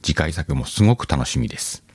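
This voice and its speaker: Very deep-voiced man, casual show host. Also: lively intonation, brisk, a little mellow, breathy edge, dry recording. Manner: chatty, musing, addresses listeners. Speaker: host of an audio channel. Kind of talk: casual solo radio-style chat. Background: faint music.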